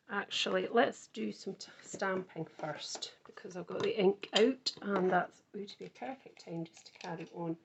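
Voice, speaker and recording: Croaky woman chatting through a craft. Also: medium pitch, background noise. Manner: chatty, thinks aloud while working. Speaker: card-making crafter. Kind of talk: casual craft tutorial.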